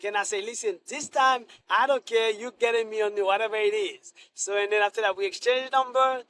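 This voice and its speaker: A middle-aged man from Haiti, a Senate candidate answering interview questions in a halting way, full of restarts and fillers. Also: high voice, fairly bright tone, slight background noise, rough recording.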